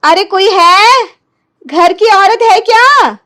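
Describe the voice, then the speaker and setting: A woman voicing a sly, gloating witch who poses as a healer, coaxing and boastful, for an animated moral story. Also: extremely high pitch, slow, pitched above the performer's own voice, exaggerated voice.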